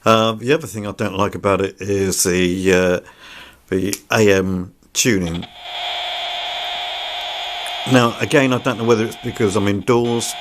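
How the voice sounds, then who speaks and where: A man's voice: on the low side, steady tone, measured pace, pauses often, background noise. A man giving an unscripted product review in a plain-spoken, somewhat hesitant way. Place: indoors.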